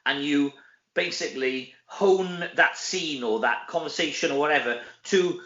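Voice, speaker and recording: Welsh male interview guest, middle-aged, bright and fairly high voice, rambling chat, echoey room. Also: measured pace. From Wales. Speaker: interview guest, stage actor. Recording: roomy echo, dull lo-fi audio.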